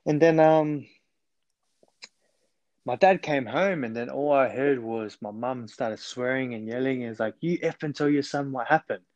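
A man's voice: medium pitch, normal speed, a little mellow, dry recording, muffled recording. A man in his twenties with an Australian accent, a podcast guest on a remote call, telling his story earnestly with many ums and restarts. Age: 20s.

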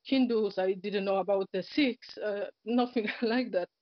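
A woman from Poland with a Polish accent, a guest in an interview, telling a personal story in casual speech. Her first language is Polish, and she talks hesitantly, with many fillers, second-language grammar and frequent soft laughs. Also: medium pitch, measured pace, dry recording. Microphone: online call. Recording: dull, lo-fi internet call audio.

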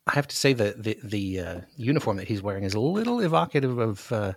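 A man with a husky, fairly low voice chats as a guest on a book podcast. He is conversational and thoughtful, and the sound is close and dry. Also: big pitch swings, fast, dry recording. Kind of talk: casual book discussion chat.

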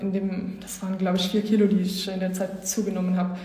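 A woman in her twenties from Belgium answers questions in an interview, pausing with frequent fillers. Her delivery is flat, barely moving in pitch. The office around her is echoey, with a long reverb. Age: 20s. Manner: hesitant, many fillers. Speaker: guest, coaching client.

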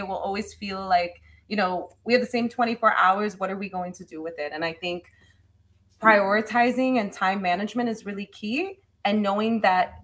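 A woman guest speaks in an even middle-pitched voice. She answers in an upbeat, motivational way and often agrees with a quick yes. Her talk flows well, with only a few fillers. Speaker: guest, CEO and TV host. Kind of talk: interview answers.

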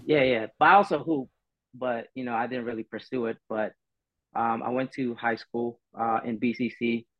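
A man's voice: mid-range voice, fairly even intonation, normal speed, dry recording. A laid-back man in his twenties, chatting casually as a podcast guest, rambling with lots of fillers. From Philippines. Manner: easygoing, rambling, many fillers. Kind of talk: casual chat. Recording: dull dry sound, faint music.

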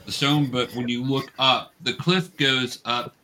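A man working as game master, narrating a tabletop game to young players in a halting, explanatory way. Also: mid-range voice, steady tone, sharp tone. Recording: clear background noise, clicks.

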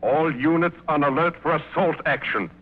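Man acting a brisk space commander on tinny old radio. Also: on the high side, close to an everyday voice. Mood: stern, urgent. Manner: clipped, official, commanding. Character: brisk military space commander giving defense orders. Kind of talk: 1950s radio science fiction acting. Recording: tinny, narrow-band old radio recording.

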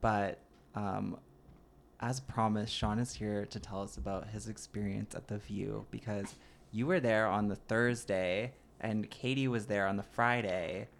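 A woman's voice: extremely low pitch, steady tone, measured pace, vocal fry, a little hiss or hum. A woman co-hosting a podcast in a studio, chatting casually, blunt and easily grossed out. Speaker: podcast co-host. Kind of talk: casual chat.

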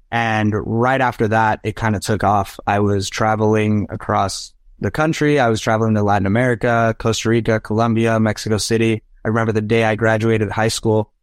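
A man's voice: fairly low pitch, clear, resonant tone, no room sound. Young man telling his story, dry sound. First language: Spanish. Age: young adult. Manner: earnest, anecdotal, often checks in with "right?". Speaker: podcast guest. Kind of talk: casual interview storytelling.

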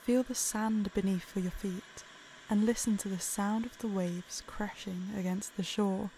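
A woman narrating a guided meditation in a calm, soothing, unhurried way. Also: medium pitch, slight background noise, poor audio.

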